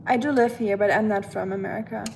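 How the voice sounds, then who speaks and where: A woman's voice: medium pitch, fairly even intonation, fast, gravelly, a little mellow, a little hiss or hum. A young woman with a German accent, chatting casually with her livestream while she cooks at home. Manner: chatty and friendly, lots of fillers, talks while working. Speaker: vlogger livestreaming. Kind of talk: casual chat reading live comments while cooking. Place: home kitchen, clattering pots and pans behind. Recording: echoey kitchen with clattering pots.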